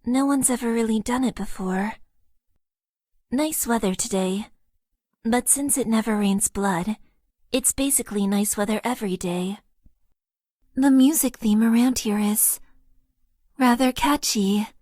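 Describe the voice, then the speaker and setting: A woman's voice: on the high side, measured pace, long pauses, no room sound, clean studio sound. A stiff, shy mecha-pilot girl. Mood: earnest. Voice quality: stiff, overly formal phrasing. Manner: earnest, shy and deadpan, nervous stammers. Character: formal, awkward young female mecha pilot, emotionally inexperienced. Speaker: dating-game character. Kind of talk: game voice lines. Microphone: close studio mic, dry.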